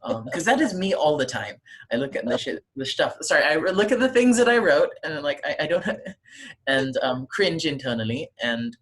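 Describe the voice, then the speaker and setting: A man's voice: fairly high pitch, sing-song, normal speed, a bit bright. Young man, author interviewee, casual, rambling, self-deprecating, home room. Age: young adult. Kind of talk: casual interview over a call.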